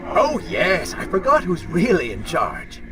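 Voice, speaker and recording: An actor playing a sneering, taunting dark spirit, a silky and mocking inner voice in a video game. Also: male voice, high-pitched, huge pitch swings, measured pace, heavily acted. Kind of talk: video game character acting. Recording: music under the voice.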